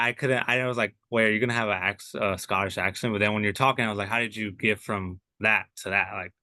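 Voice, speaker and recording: A man's voice: on the low side, bright, forceful tone, no room sound. A young adult man hosting a podcast, asking long, rambling questions full of "like" fillers. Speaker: podcast host. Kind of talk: casual interview questions.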